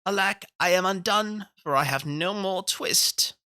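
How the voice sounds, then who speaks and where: Guy doing a worried old tailor, way higher than his normal voice, muttering and fretting, dry close sound. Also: unhurried, pushed and bright, clean tone, no echo. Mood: despairing. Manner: anxious muttering lament. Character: poor old fretful male tailor, feverish.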